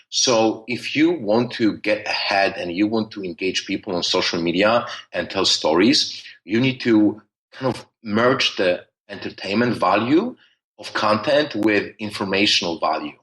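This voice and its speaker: A man's voice: fairly low pitch, normal speed, a bit bright, poor audio. A man with a thick Polish accent, talking at length as a podcast guest, with many restarts and fillers. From Poland. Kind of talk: conversational interview.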